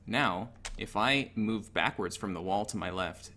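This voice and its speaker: A man's voice: fairly low pitch, unhurried, bright, forceful tone, slight background noise. A man giving an informal, technical tutorial over gameplay in a matter-of-fact, analytical way. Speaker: gaming tutorial narrator.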